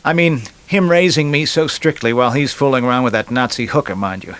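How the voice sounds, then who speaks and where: A man's voice: medium pitch, a little fast, fairly bright tone, clear, resonant tone, close to an everyday voice. A glib young man, acted out by a male narrator in a storybook, talks in a chatty, wisecracking way. He leans on words with punchy emphasis, and a streak of resentment runs under the joking. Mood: bitter, self-justifying.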